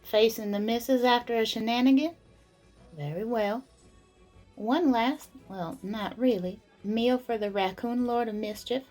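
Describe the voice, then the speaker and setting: Fantasy tavern waitress, female actor, courteous and chatty, higher-pitched, music bed behind. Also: a little slow, darkish tone, lightly acted, poor audio. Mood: chatty, attentive. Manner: polite, chatty, attentive. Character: busy, courteous young tavern serving woman. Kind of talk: fantasy audio drama acting. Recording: background music under the voice.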